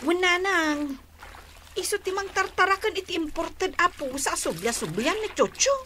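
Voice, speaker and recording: A woman acting an excitable, teasing young daughter in an Ilocano radio drama, speaking with an Ilocano accent. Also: very high voice, normal speed. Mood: complaining. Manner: exclamatory, teasing. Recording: AM radio drama with background noise.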